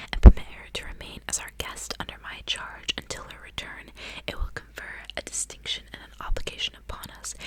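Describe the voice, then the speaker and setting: A woman reading a courteous, earnest old father from a gothic novel in a formal, breathy whisper. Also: close to an everyday voice, poor audio. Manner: formal, gallant.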